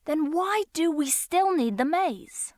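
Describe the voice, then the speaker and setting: A woman's voice: sits high, animated, takes it slowly, a little mellow, no echo, clean studio sound. A woman voicing a curious, innocent young child who attends a priestess, in a visual novel.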